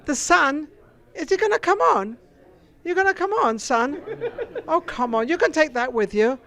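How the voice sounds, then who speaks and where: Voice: sits high, expressive, normal speed, fairly bright tone. A talkative host who interviews guests at an indoor red carpet event, teasing and joking with them.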